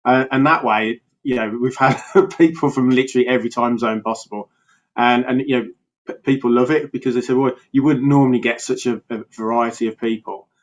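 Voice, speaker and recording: A man's voice: medium pitch, steady tone, brisk. A man from England with a Birmingham accent, chatting casually as a podcast guest over a remote call, with frequent restarts and easy laughter. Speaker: podcast guest, health coach. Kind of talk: casual interview chat.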